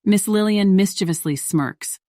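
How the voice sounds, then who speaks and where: A woman's voice: medium pitch, unhurried, warm tone, no echo, studio quality. A woman reading scripted story narration in the role of narrator.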